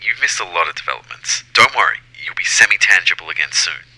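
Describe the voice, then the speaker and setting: A man's voice: low voice, lively intonation, fast, bright, forceful tone, exaggerated voice, low-quality recording. A man playing a helpful, practical ghostly go-between in an audio drama, speaking casually. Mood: practical, reassuring. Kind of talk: audio fiction acting.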